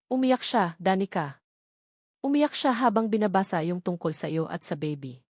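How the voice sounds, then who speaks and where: A woman's voice: mid-range voice, lively intonation, moderate pace, darkish tone, no echo, clean studio sound, natural voice. A woman voicing an earnest, protective young man in an audio drama, speaking in a tender, reassuring way to the one he loves. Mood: emotional, earnest.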